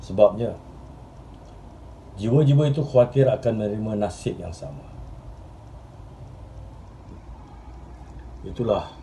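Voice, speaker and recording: A man acting an earnest, restless journalist in a dramatic stage monologue, speaking in deliberate literary phrases with pauses. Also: lowish voice, mellow, a little hiss or hum, close to an everyday voice, rough recording. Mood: brooding, reflective. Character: earnest, restless male journalist reminiscing about his admired mentor. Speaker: stage actor. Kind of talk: theatrical monologue in Malay drama.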